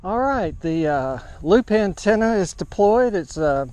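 Slow, halting man with a higher-pitched voice. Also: lively intonation, darkish tone, poor audio. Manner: halting, hesitant, matter-of-fact. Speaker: amateur radio operator, vlog host. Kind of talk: casual outdoor setup vlog narration. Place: large open grassy park field, outdoors.

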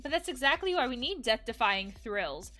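Woman reading as swaggering, high-pitched reckless party friend. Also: sing-song, normal speed, bright, forceful tone. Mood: goading, ranting. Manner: goading, emphatic, theatrical reading. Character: reckless, swaggering, foul-mouthed young party-going friend.

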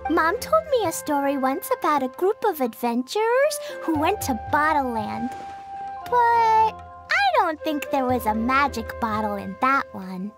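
A very high-voiced little girl adventurer from a video game. She is innocent and eager, bouncing through her notes in a childlike way, with music playing behind. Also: sing-song, measured pace. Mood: innocent, curious. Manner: eager, exclaiming.

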